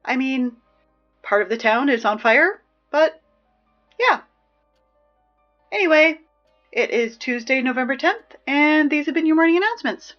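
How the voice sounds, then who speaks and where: High-voiced, flustered teenage announcer. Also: female voice, lively intonation, measured pace, pauses often, highs cut off, faint music behind. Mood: uneasy. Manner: hesitant, pleading, conversational rambling. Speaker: morning announcements host. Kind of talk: audio drama, casual school PA announcements.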